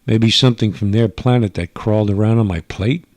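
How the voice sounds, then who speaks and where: A man's voice: lowish voice, brisk, darkish tone, no room sound. A man narrating a first-person radio drama in old-time style, as a government investigator giving his report.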